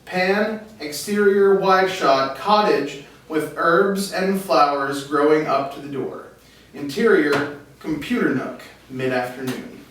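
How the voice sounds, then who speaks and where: A man's voice: fairly high pitch, slow pace, fairly bright tone. A man reading stage directions as the narrator of a script table read. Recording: echoey room, far mic, faint noise.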